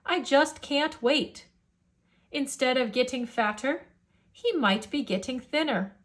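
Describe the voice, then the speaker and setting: A greedy giant from a storybook, acted out by a woman, gloats in slow rhyme. The voice is high for such a monster, impatient and hungry. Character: greedy many-headed male giant, impatient to eat.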